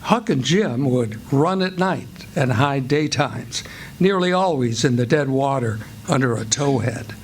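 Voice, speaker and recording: A man's voice: mid-range voice, animated, a little slow, slight background noise, close to an everyday voice. An actor as the narrator of a radio play, an American man telling a river tale in a folksy, descriptive way. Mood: scene-setting. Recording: live stage with music behind.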